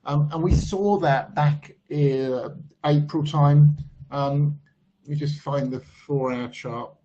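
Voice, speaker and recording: Hoarse-edged man talking through trading charts. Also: fairly high pitch, fairly even intonation, warm tone. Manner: measured, thinking aloud with frequent ums. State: losing his voice. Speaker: market analyst. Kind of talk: screen-share chart commentary. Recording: slightly dull lo-fi voice-over.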